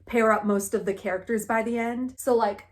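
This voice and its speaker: A woman's voice: fairly high pitch, fairly even intonation, moderate pace. A woman recapping a novel in a casual, gushing vlog style, peppering everything with "like" and wry sarcasm. Her voice sits slightly above the usual range for a woman. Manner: sarcastic, gushing, heavy use of "like". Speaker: book vlogger. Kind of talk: casual chatty book recap.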